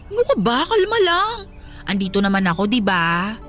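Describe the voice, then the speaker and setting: Good-natured young Filipina best friend for radio drama, played by a woman: bright, animated, coaxing and expressive. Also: mid-range voice, moderate pace, faint music behind. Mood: curious, soothing.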